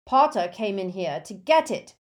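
A woman's voice: on the low side, unhurried. A woman voicing a haughty, impatient teenage schoolboy rival in an audiobook, with a curt manner. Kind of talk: audiobook character voice.

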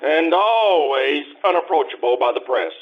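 A man's voice: a little high, lively intonation, normal speed, highs cut off, close to an everyday voice. A man playing a pompous, bossy magazine publisher with a grand declaiming manner, in an old-time radio style. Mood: brisk, commanding.

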